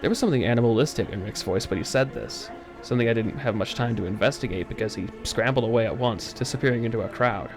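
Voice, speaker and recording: A man narrating a first-person horror story in a dramatic, tense, expressive way with pauses for suspense. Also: mid-range voice. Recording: eerie music under the voice. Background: background music.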